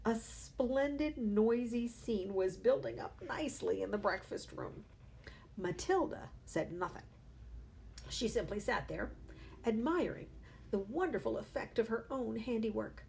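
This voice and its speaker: A woman reading a children's book aloud with expressive storytelling. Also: a little high, unhurried, gravelly, a little hiss or hum.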